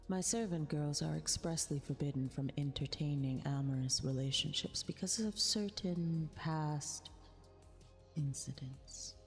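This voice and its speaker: A woman voicing a vain, possessive eldritch empress in an ASMR roleplay, with formal, condescending, intimate delivery. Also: low voice. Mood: amused, condescending. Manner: imperious, condescending, formal diction, drawn-out delivery. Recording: long reverb, music behind. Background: music.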